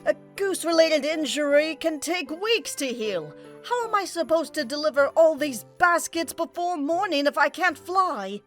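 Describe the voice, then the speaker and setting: A woman's voice: sits high, animated, moderate pace, faint music behind. A woman voicing a haughty royal pony princess who speaks in an old-fashioned, imperious way, for a fan comic dub. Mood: dismayed.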